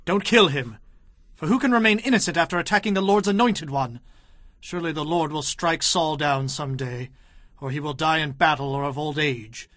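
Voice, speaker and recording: A bold young warrior played by a man speaks in a high, earnest voice, declaiming with devout loyalty. It is a dramatized Bible production, recorded close and dry. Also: moderate pace, fairly bright tone, dry recording, close to an everyday voice. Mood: firm, reverent. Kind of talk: dramatized audio Bible acting.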